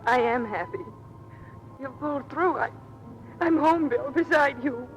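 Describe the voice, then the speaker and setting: A woman's voice: high-pitched, a little slow. An actress playing an airman's devoted, tearful sweetheart in an old radio drama, with emotional pleading. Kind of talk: 1940s-50s radio drama acting. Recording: muffled vintage radio recording with music.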